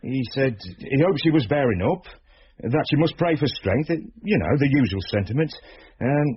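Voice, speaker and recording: A man's voice: mid-range voice, animated, moderate pace, breathy edge. An actor playing a flustered, defensive junior policeman in a British radio drama, hesitant and self-justifying. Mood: hesitant, apologetic. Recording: dull, lo-fi radio recording.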